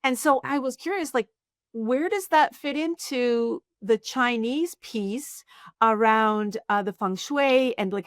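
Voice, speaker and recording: A woman's voice: on the high side, no echo, studio quality. Woman podcast host introducing and interviewing.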